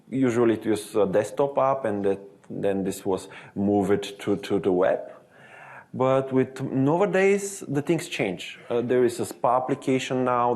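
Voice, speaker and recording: Halting, low-voiced Eastern European man. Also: a little mellow. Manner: halting, searching for words, frequent self-corrections. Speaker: software development manager presenting. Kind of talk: technical webinar presentation.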